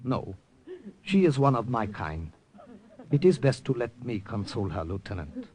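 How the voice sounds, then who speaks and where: An actor playing a grave, loyal Siamese underground major in an old-time radio drama, speaking in formal, clipped sentences. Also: male voice, mid-range voice, sing-song, normal speed, a little mellow, close to an everyday voice. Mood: grave, resolute.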